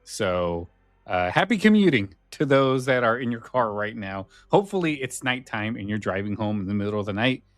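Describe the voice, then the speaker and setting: A man's voice: lowish voice, very animated, normal speed, no room sound. A man chatting casually as a podcast host, rambling and a little hesitant. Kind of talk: casual chat.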